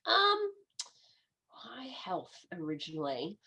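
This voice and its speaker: A woman in her fifties with an American accent and a nasal, slightly stuffed-up voice from a lingering head cold. She talks as a guest in a relaxed interview, chatty and eager. She often trips over her words and starts her sentences again. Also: on the low side, slow, lo-fi sound. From United States. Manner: chatty, enthusiastic, restarts often. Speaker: guest, medical astrologer. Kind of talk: casual podcast interview.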